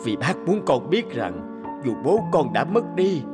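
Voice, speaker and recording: A man voicing a kind older man who lost his only son, speaking gently and consolingly in an animated drama. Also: on the high side, unhurried. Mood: gentle. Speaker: voice actor. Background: background music.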